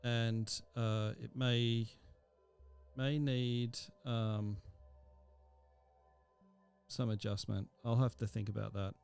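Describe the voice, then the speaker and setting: A man teaching a music software tutorial, thinking aloud step by step with frequent ums. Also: medium pitch, level tone, slow pace, creaky, long pauses, clear, resonant tone. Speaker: music technology instructor. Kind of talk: screen-recorded software tutorial.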